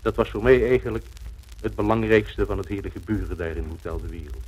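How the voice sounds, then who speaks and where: A male correspondent recalls what he witnessed, for 1950s radio. His voice is fairly low and husky. He describes things in a measured, emphatic way, repeating phrases. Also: steady tone, a little mellow, low-quality recording. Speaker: news correspondent giving eyewitness account.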